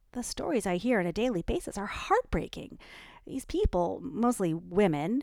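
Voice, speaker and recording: A woman's voice: medium pitch, vocal fry, no echo. A woman voicing Ellie, a defensive, fiery young mother, in an audiobook reading. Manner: heated, pleading then hushed and contrite.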